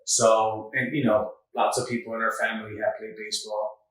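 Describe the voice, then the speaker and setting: A man's voice: on the low side, a little fast, far from the mic, a little hiss or hum. Man telling his story in an echoey room. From Dominican Republic. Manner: earnest, animated retelling of his past. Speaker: podcast host. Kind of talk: casual chat, storytelling. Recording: echoey room, slightly off mic.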